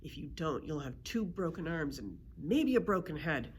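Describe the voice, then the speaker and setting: A woman's voice: low-pitched, big pitch swings, moderate pace, slight background noise. A woman voicing a cocky, hot-tempered bully of a boy in a storybook, curt and blustering. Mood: menacing.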